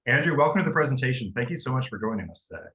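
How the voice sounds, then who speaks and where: A man's voice: medium pitch, very animated, very fast, fairly bright tone. A man hosting a webinar, introducing a guest in a casual way with frequent fillers. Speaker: webinar host. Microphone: video call.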